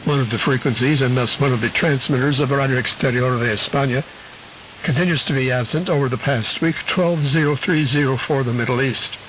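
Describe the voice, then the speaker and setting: A man's voice: medium pitch, fairly quick, a bit bright. A man presenting a scripted shortwave news bulletin, precisely reading out figures and spelled letters. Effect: shortwave radio transmission. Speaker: radio program presenter. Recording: muffled, hissy shortwave radio broadcast.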